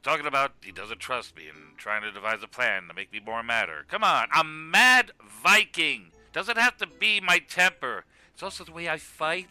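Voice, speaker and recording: A man's voice: on the high side, expressive, normal speed, few pauses, bright, forceful tone, clean tone, dry recording, soft background music. A man voicing a hot-tempered, sarcastic cartoon Viking with a blunt, exasperated delivery. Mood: aggrieved. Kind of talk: comedic cartoon voice acting.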